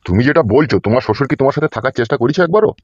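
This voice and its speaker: A man's voice: mid-range voice, lively intonation, racing, dry recording, muffled recording, natural voice. A man voicing a foul-mouthed, bullying police officer in a comedy cartoon, scolding and domineering. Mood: stern, questioning. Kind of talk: Bengali comedy cartoon dubbing.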